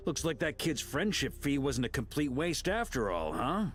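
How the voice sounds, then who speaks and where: Actor as a bold, lively knight captain. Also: male voice, medium pitch, normal speed, crowd noise, close to an everyday voice. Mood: hearty, wry. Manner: bold, wry, commanding. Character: hearty, commanding, battle-ready male knight captain. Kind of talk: dramatic game voice acting. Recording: music behind the voice.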